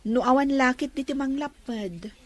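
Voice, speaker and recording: An actress playing an earnest young daughter set on marrying, in Ilocano radio drama with an Ilocano accent. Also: female voice, sits high, normal speed, no echo. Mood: earnest, insistent.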